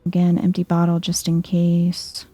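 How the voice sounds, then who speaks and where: A soft-spoken woman giving hushed, chill ASMR-style video game commentary, thinking aloud to herself. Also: fairly low pitch, monotone, unhurried.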